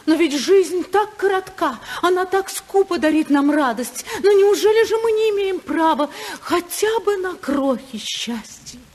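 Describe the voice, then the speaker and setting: An actress playing a passionate, unhappy senator's wife in love, in a fervent, emotional 1950s radio drama style. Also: female voice, very high voice, lively intonation, measured pace, darkish tone. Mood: desperate, passionate.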